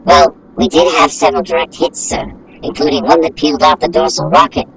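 A performer playing a glum, literal-minded droid sidekick, deadpan and pessimistic, in an audio drama skit. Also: mid-range voice, a little fast, vocal fry, rough recording.

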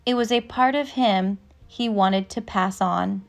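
A woman narrating a picture book aloud with measured, deliberate phrasing. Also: mid-range voice, gravelly. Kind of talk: storybook read-aloud, scripted narration.

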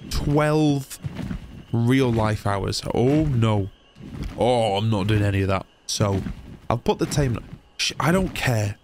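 A young man with a cold and a slightly nasal, blocked-nose voice, chatting excitably through a game playthrough. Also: mid-range voice, background noise. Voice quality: a bit nasal. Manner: chatty, excitable, rambling asides. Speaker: gaming YouTuber. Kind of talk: casual let's-play commentary.

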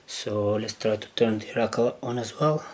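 A man who is not a native English speaker gives a casual, unscripted hands-on demonstration, hesitantly thinking aloud in halting phrases. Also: on the low side, darkish tone. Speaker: amateur radio hobbyist demonstrating gear. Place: indoors at a wooden table. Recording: clear background noise on handheld camera audio.

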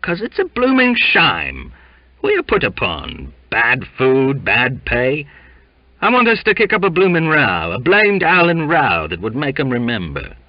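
A man voicing a scrappy, whining young male sailor with a shrill, grievance-laden manner. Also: wildly swooping pitch, unhurried, no room sound, highs cut off, full character voice. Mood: indignant.

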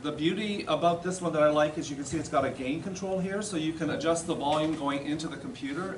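A middle-aged man giving a plain-spoken, explanatory demonstration talk in an informal way. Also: a little high. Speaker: presenter at a society meeting. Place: classroom or lecture room, indoors. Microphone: far camera. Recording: roomy, distant, noisy camera recording.

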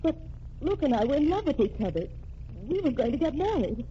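A woman's voice: high-pitched, sing-song, fairly quick, dark tone. An actress playing a distraught young woman in a 1940s radio drama, pleading and bewildered. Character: distraught young woman, newspaper man's sweetheart. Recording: muffled old radio transcription with hiss.

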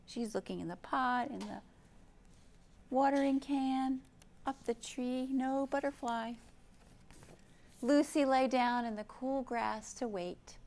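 A woman in her fifties hosting a children's storytime, speaking gently and expressively in simple phrases, with questions for young listeners. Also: high voice, slow, pauses often. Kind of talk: read-aloud storytime for young children, with chat and song. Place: indoor library program room.

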